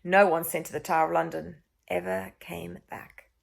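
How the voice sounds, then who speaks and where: Creaky-voiced woman reading a children's story. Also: on the low side, little pitch movement, normal speed. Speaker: teacher reading aloud. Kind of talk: expressive children's story narration.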